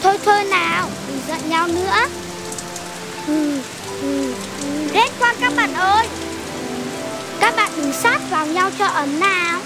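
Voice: extremely high pitch, measured pace, long pauses, loud music behind the voice. An actor playing a cheerful, caring young animal in a children's cartoon, with an eager, childlike way of exclaiming. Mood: caring, coaxing.

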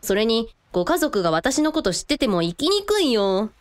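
A woman's voice: fairly high pitch, big pitch swings, moderate pace. A woman playing an easygoing eldest sister who puts on a brave face, in a radio drama. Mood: wistful.

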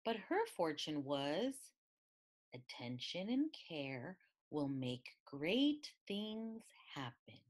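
A woman reading a picture book aloud in an expressive, deliberate way. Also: low-pitched. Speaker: storybook reader.

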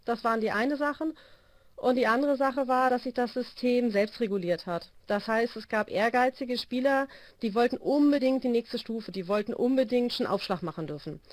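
Give me a woman coach talking over a phone in a video call, slightly high voice, lots of "ähm". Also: normal speed, no echo. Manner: explanatory, many hesitation fillers. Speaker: volleyball coach. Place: small room indoors. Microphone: phone held to ear.

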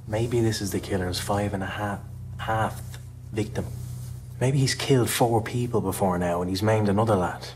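A middle-aged Irish man in a film scene, talking in a dry, musing, deadpan way. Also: lowish voice, normal speed, slight background noise, poor audio. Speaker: actor in film clip. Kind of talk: film dialogue.

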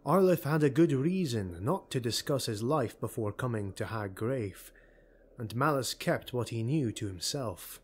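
A man narrating a dark fantasy audiobook in a measured, dramatic storytelling manner. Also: medium pitch, animated, breathy edge.

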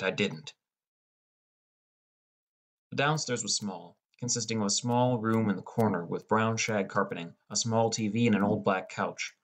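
A young man reading a scripted first-person audiobook as a depressed high school boy. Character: depressed, irritable high school boy telling his story. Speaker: audiobook narrator.

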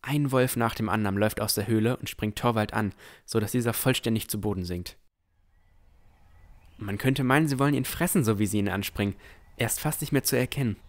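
A man playing a dreamy, curious young villager who narrates a fantasy audio drama in measured tones. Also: fairly low pitch, lightly acted. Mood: absorbed, watchful. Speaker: narrator and lead character.

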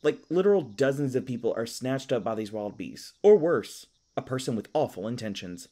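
A man's voice: medium pitch, animated, moderate pace, warm tone, natural voice. A man playing a flustered, skittish young elf park ranger in an audio roleplay, stammering and awkward with goofy folksy exclamations. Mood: exasperated, frantic.

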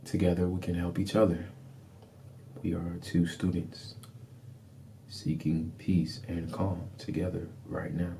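A man with a dark, soft-edged voice leads a guided meditation. He speaks in calm, deliberate, repeated phrases with very little rise and fall. A faint background noise sits behind him. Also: low voice, creaky. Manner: calm, deliberate, soothing instruction.

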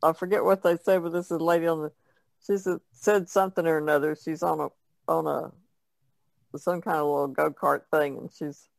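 A woman's voice: low voice, flat delivery, moderate pace, vocal fry, dry recording. An older American woman chatting casually on a podcast in a wry, plain-spoken way. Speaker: podcast panelist. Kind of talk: casual chat.

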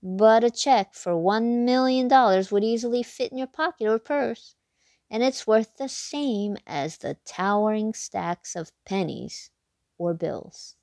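A woman hosting a read-aloud, reading a children's picture book expressively, with big excited leaps in pitch. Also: measured pace, no echo, clean studio sound.

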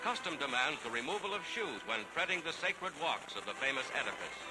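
A man's voice: on the high side, very animated, fairly quick, rough recording. A man with an American accent reads scripted 1940s newsreel narration in a declamatory, dramatic announcer's manner on a vintage soundtrack. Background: loud music.

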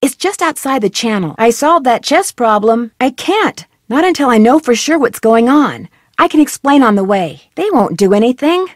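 A woman's voice: fairly high pitch, sing-song, normal speed, hardly any pauses, no room sound, studio quality. An actress voicing a curious, upbeat young female amateur detective in a video game. She speaks in an inquisitive, friendly, plucky way. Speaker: game protagonist and tutorial guide.

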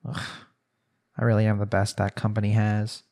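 A man's voice: lowish voice, completely flat delivery, normal speed, a little mellow, lightly acted. A man voicing a young newlywed husband in an arranged marriage, speaking conversationally in an intimate audio roleplay. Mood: tired, self-satisfied.

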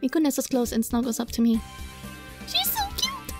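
A woman reading a visual novel aloud as a let's play, dramatic and playful, in a giggly, flustered, excitable manner. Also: high voice, moderate pace. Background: music.

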